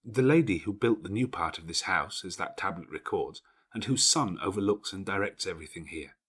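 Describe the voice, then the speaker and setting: A man voicing a studious orphan schoolgirl who explains things in a measured, matter-of-fact way for an audiobook. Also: low-pitched, animated, fairly bright tone, husky, full character voice. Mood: matter-of-fact, patient.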